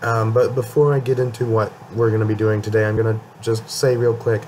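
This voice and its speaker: Young guy with a cold rambling about albums, lowish voice, kinda monotone. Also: a little fast, a little hiss or hum. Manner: rambling, many ums and restarts. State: getting over a cold, voice a bit worn. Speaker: music channel host. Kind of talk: casual album ranking talk.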